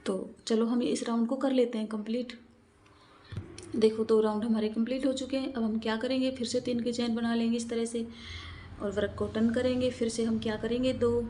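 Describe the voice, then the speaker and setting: A woman's voice: a little high, dark tone, a little hiss or hum. A woman narrating a step-by-step craft tutorial, explaining in a repetitive, instructional way and counting aloud.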